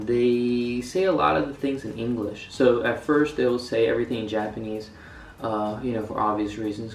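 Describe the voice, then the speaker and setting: A man's voice: mid-range voice, a little mellow, a little hiss or hum. Rambling young man, echoey room. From United States. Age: young adult. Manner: rambling, many fillers and restarts. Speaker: student vlogger. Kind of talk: casual vlog talk. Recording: echoey room, mic a bit far.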